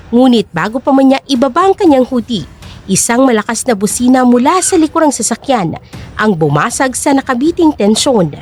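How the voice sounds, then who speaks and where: A woman's voice: high-pitched, darkish tone. A woman narrating a dramatic scripted story in Tagalog.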